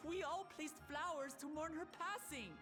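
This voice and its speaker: A woman's voice: high-pitched, normal speed, over music, lightly acted. A woman playing a solemn, resolute warrior in a video game, grave, blunt and direct in manner. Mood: bewildered, demanding. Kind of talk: scripted video game voice acting.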